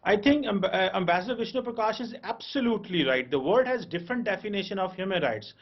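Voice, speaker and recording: A man's voice: sits high, lively intonation, fast talker, dull audio. A man commenting as an analyst on a debate panel. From Pakistan.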